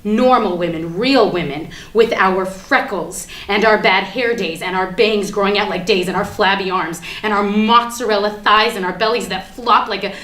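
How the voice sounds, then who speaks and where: A woman's voice: mid-range voice, normal speed, few pauses, natural voice. A woman performing a theatrical monologue as a defiant, ranting everywoman, sardonic and emphatic in her delivery. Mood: indignant ranting. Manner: sardonic, emphatic, swinging from hushed confiding to outraged ranting. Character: ranting, defiant everywoman gleefully confessing she killed Barbie. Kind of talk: theatrical monologue at a staged reading. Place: live staged reading in a room. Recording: echoey room with background noise.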